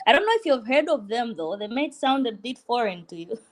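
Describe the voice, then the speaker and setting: A woman's voice: fairly high pitch, big pitch swings, fairly quick. A woman from Malawi whose first language is Chichewa, chatting on a video call. She is chatty and forthcoming. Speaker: book club member. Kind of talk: casual chat on a video call.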